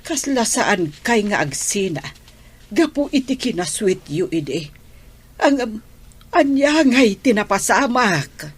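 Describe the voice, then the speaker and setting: Lively, concerned grandmother. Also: mid-range voice, slow pace, slightly rough, exaggerated voice. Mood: sorrowful concern. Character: gentle, concerned grandmother advising her grown daughter. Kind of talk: radio drama acting.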